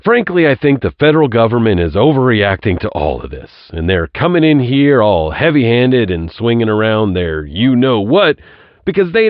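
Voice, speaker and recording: A man's voice: mid-range voice, no room sound, muffled recording, close to an everyday voice. A man acting as a blunt, opinionated local official speaking frankly in an audio drama. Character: blunt local official distrustful of federal government.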